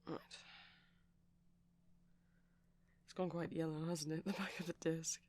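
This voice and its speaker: A man playing a shy, gentle vampire boyfriend in an ASMR roleplay, soft-spoken and hesitant, often slipping into a breathy whisper. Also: on the high side, level tone, fairly quick, natural voice. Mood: soft, fussing.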